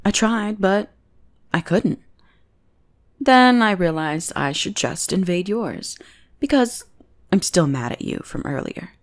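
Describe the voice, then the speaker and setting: A woman's voice: mid-range voice, expressive, slow pace, pauses often. A woman playing a bossy, teasing goth bully who is secretly smitten, in an intimate ASMR roleplay. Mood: smug teasing. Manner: mocking, drawling, intimate teasing. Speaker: voice actor in audio roleplay.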